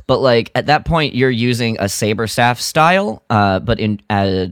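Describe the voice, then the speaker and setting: Male podcast co-host, casual rambling recap, mid-pitched voice, dry close-mic sound. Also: lively intonation, normal speed, no room sound. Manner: rambling, full of fillers and restarts, quotes characters mockingly. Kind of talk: casual chat recapping a radio drama.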